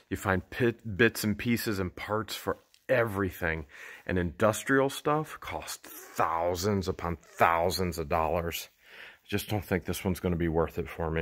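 Middle-aged guy, slightly low voice, eager running commentary, handheld camera in a big indoor warehouse. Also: fairly bright tone. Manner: enthusiastic, exclaims often, thinks aloud. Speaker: vlogger picking for resale. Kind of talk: excited running commentary while exploring. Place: large cluttered indoor warehouse.

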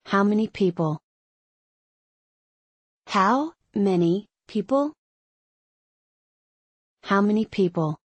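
Woman reading English phrases very slowly. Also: mid-range voice, animated, dry recording. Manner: careful, clear enunciation for learners. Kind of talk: slow repeated phrase reading.

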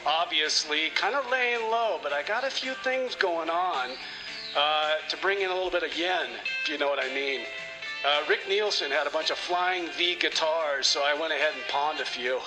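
Sly small-time roadie, acted by a man, conspiratorial and pleased with himself, higher-pitched, radio comedy over music. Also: low-quality recording. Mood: sly, chummy. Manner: casual, self-satisfied, conspiratorial. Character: sly, chummy male rock roadie and small-time schemer. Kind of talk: comedy radio theater, phoned-in message. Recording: music playing behind the voice.

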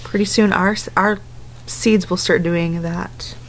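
A woman's voice: on the low side, steady tone, takes it slowly, slight background noise, low-quality recording. A woman in her thirties reading a picture book aloud to young children, instructing in simple phrases and putting questions to them. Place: indoors at home, living room couch.

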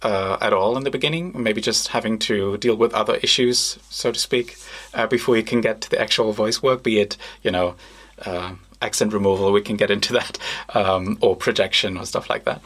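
A man's voice: on the low side, fairly quick, fairly bright tone. A man hosting a live podcast interview, asking long, rambling questions full of fillers. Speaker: podcast host.

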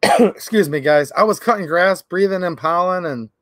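Man in his thirties, high voice, slightly raspy, rambling earnest livestream talk, dry close webcam sound. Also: normal speed, fairly bright tone, no room sound. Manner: earnest, rambling, repeats "literally" and "like". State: slightly raspy from pollen and cold. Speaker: channel host. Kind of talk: casual livestream talk to viewers. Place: indoor workshop or garage.

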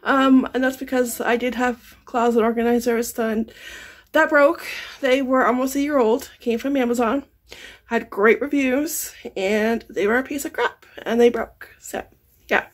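A woman's voice: on the high side, steady tone, unhurried. A woman in her thirties chatting casually to camera at home as a lifestyle vlogger, laughing often as she talks through her chores. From Canada. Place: bedroom at home, indoors.

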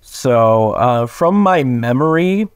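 A young man, a tenor with a voice lowered from just waking, talking casually and haltingly as an actor being interviewed. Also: animated, clean tone, dry recording, clean studio sound. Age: young adult. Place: plain small room indoors, video call.

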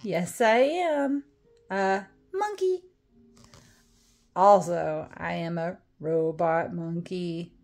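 Slow, playful woman reading a storybook. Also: fairly low pitch, darkish tone. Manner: playful, exaggerated mock dismay, dramatic drawn-out words and whispers. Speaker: storytime reader. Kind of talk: animated children's picture book read-aloud.

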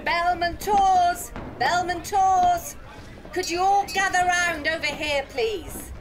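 A woman's voice: very high-pitched, fairly even intonation, over music. A woman playing a polite tour guide in an audio comedy, calling out to gather a group. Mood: hailing. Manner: calling out, drawn-out. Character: shrill, polite female tour guide hailing a party. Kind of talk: audio comedy drama acting.

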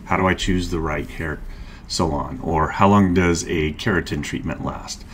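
A middle-aged man narrating a screen-share tutorial, calmly explaining each step and click as a marketing instructor. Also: sits low, moderate pace, slight background noise. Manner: calm, explanatory, walks through clicks step by step.

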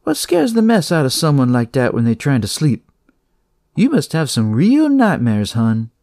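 A narrator voicing a warm, brisk female hospital nurse with a rich voice and folksy, reassuring chatter. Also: mid-range voice, huge pitch swings, dry recording, studio quality, pitched above the performer's own voice. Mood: brisk, kindly.

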